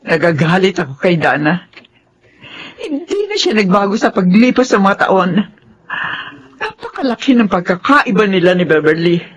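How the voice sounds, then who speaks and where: A woman's voice: mid-range voice, sing-song, normal speed, breathy edge. An actress playing a compassionate middle-aged mother in a Filipino radio drama. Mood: troubled. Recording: dull, lo-fi radio recording.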